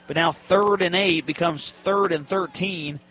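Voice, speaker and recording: A man with a high, forceful voice calls college football play-by-play for radio. His calls come in short, clipped present-tense phrases. Light stadium noise sits behind him. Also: fairly even intonation, unhurried, poor audio.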